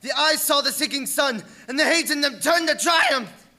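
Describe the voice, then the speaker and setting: Man playing piercing, high-voiced haunted young husband. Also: expressive, normal speed, bright, forceful tone, full character voice. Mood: horrified. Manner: dramatic, horrified recounting. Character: haunted young husband recalling horror, intense. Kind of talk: radio play narration in character.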